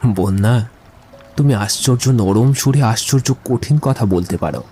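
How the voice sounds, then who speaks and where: A man's voice: medium pitch, unhurried, warm tone, faint music behind, natural voice. A man playing an eloquent young man in love in a literary audio drama, speaking in lyrical, wordy speeches. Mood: earnest pleading.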